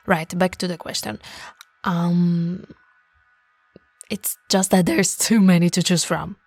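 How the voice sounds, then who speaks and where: Woman acting a slow, teasing nerdy monster girlfriend. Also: lowish voice, fairly even intonation, a little mellow, dry recording, soft background music, full character voice. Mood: nerdy, playful. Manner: playful, teasing, often dropping into breathy whispers. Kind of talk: intimate roleplay audio acting.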